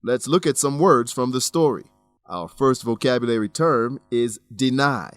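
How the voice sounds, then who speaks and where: A man's voice: mid-range voice, moderate pace, no echo, faint music behind. A man narrating a radio drama and English lesson as host, explaining expressively in scripted, learner-friendly sentences.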